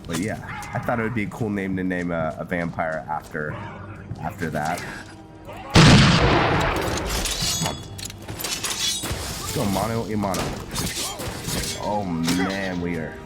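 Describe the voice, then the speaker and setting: A man's voice: sits low, slow pace, long pauses. A man giving casual, meandering gameplay commentary, hesitating as he talks to himself and his viewers. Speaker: gamer, host of a roleplay series. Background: video game music.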